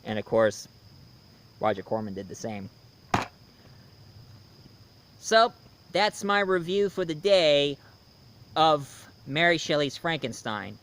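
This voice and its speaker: A man in his thirties giving a casual, unscripted review as the host, haltingly, often searching for words and repeating himself. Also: on the high side, sing-song, fairly bright tone, slight background noise.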